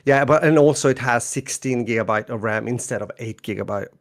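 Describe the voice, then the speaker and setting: Swedish male podcast host, middle-aged, gravelly voice, rambly and laughing. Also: medium pitch, fairly quick. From Sweden. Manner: rambling, with frequent restarts and laughs. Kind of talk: casual chat.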